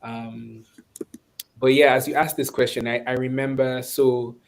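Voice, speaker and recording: A Nigerian man speaking reflectively and conversationally in an online webinar, often pausing and restarting as he searches for words. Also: medium pitch, flat delivery, vocal fry. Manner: thoughtful, halting, searching for words. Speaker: webinar speaker, course instructor.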